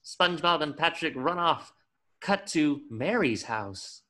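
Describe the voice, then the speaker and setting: A man's voice: on the high side, expressive, a little slow, heavy background noise. A man reading scripted stage directions as the narrator of a table read.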